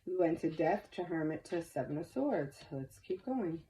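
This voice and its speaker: Lowish woman, stuffy nose, chatty tarot reading, faint hiss behind. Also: lively intonation, normal speed, warm tone, low-quality recording. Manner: chatty, reassuring, repeats herself. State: congested and stuffy. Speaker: tarot reader. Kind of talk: casual spoken tarot reading.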